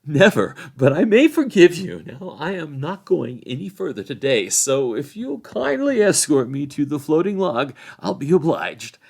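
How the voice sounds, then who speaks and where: A man reading a storybook, playing a genteel, unruffled hobbit gentleman with a polite, haughty, amused way of speaking. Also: a little high, big pitch swings, gravelly, pitched above the performer's own voice, exaggerated voice. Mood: coolly polite. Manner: polite, lofty, deliberate wording.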